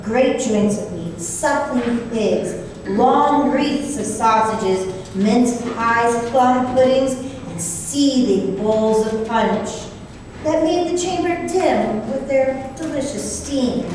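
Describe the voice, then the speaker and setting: Casting: female storyteller for radio drama, fairly high voice, unhurried, in a very echoey hall. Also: lively intonation. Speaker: narrator. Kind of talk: scripted storytelling in old-time radio performance. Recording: distant, echoey hall, faint noise.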